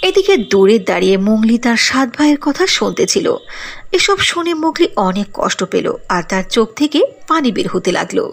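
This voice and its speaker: A woman narrating a cartoon fairy tale with expressive storybook delivery. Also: fairly high pitch, a little fast, gravelly.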